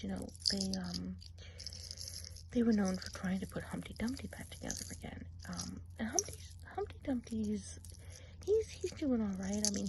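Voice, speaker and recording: A woman playing a chatty, gossipy good witch of the woods in an ASMR role play, drifting between soft speech and whispers. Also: medium pitch, creaky, background noise, lightly acted. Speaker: ASMR performer.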